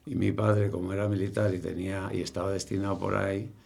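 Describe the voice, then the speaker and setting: Very gravelly older Spanish man. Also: low-pitched, level tone, darkish tone. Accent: Castilian Spanish. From Spain. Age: early 70s. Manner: halting, repeats words as he recalls. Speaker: interviewee. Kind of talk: casual reminiscing.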